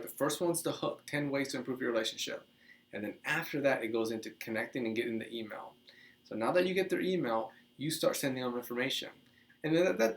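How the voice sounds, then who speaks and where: Man in his thirties, casual host, webcam talk, small office, mid-pitched voice. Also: low-quality recording. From Dominican Republic. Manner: animated, gestures a lot, chatty with restarts. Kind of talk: casual teaching monologue to camera. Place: small office with couch, indoors.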